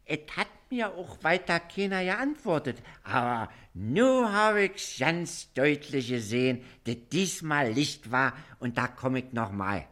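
An actor playing a forgetful, meek village office servant with a Berlin accent and a plodding, deferential manner in a drama. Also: male voice, fairly high pitch, bright, forceful tone, heavily acted. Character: slow-witted, forgetful, meek village constable's clerk.

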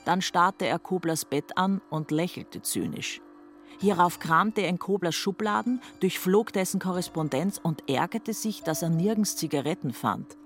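A woman's voice: on the low side, normal speed, soft background music. A woman reading scripted literary narration for a radio play.

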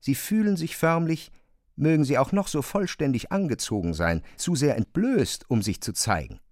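A man's voice: on the high side, sing-song, moderate pace, a little mellow, no echo, clean studio sound. A man reads scripted literary narration for a radio drama.

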